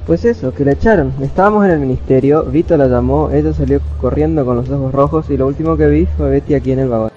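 An actor playing a gossipy, dry young school prefect with a mellow voice in an amateur radio play. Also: medium pitch, fast, hardly any pauses, natural voice. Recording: dull, faintly noisy home recording.

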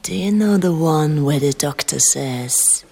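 A woman's voice: sits low, animated, takes it slowly, gravelly, heavy background noise, exaggerated voice. An actress playing a coy, teasing woman in a stagey bilingual comedy double act, punning and mixing English with broken German. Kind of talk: stagey language-lesson comedy sketch dialogue. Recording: loud music behind the voice.

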